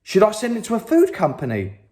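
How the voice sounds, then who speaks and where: A man voicing an excited eight-year-old child in a children's story, speaking eagerly. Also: high voice, fairly quick, pitched above the performer's own voice.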